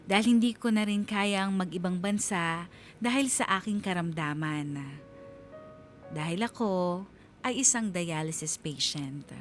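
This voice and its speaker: A woman hosts a radio storytelling show in a medium-pitched voice. She narrates a letter dramatically, with heartfelt pauses. Soft music plays underneath. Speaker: radio host.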